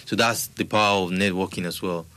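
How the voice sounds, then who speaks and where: A Nigerian musician telling stories in a relaxed, rambling way during a casual interview. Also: male voice, low-pitched, fairly quick. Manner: relaxed, rambling storytelling with restarts. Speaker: musician guest.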